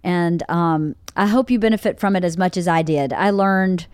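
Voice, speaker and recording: A middle-aged woman hosting a podcast in casual chat, with a big loud voice and frequent laughs. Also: fairly low pitch, moderate pace, clean tone, no room sound, clean studio sound. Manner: loud, animated, laughs often. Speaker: podcast host. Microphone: studio podcast mic.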